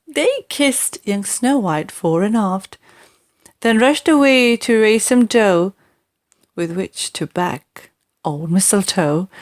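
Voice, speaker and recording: A woman reading children's verse aloud in an expressive, theatrical, deliberate storytelling style with emphatic delivery. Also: mid-range voice. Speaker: audiobook reader.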